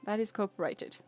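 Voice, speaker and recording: Young Swedish-accented woman streamer, chatty and giggly, pitched a bit high, soft music underneath. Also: breathy edge. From Sweden. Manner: chatty, laughs often, explains patiently. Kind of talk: casual live-stream chat answering viewers.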